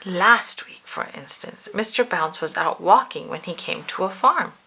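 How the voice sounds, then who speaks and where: Expressive woman reading a children's story, creaky voice. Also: fairly low pitch, slight background noise. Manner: animated, drawn-out emphasis, addresses the listener. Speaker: storybook reader. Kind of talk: expressive children's read-aloud narration.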